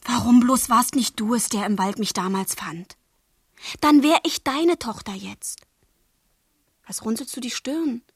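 An actress playing a passionate, wilful thirteen-year-old girl in love, in a literary radio play, with a breathy, husky, intense delivery. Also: fairly high pitch, measured pace, no room sound, clean studio sound. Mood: yearning, reproachful. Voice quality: breathy, husky, often near a whisper. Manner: intense, demanding, dramatic delivery.

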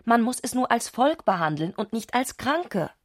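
A woman's voice: on the high side, big pitch swings, moderate pace, no room sound, studio quality. A fervent, visionary woman, closely recorded. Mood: fervent, assertive. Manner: insistent, declarative, visionary. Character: Clarisse, fervent, eccentric woman obsessed with madness. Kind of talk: literary radio drama acting.

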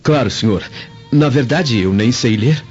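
Actor doing a meek servant, hesitant, medium pitch, dull radio play sound. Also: male voice, lively intonation, unhurried, darkish tone, close to an everyday voice. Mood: nervous. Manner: hesitant, submissive. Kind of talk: radio drama acting. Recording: dull lo-fi radio drama, music behind.

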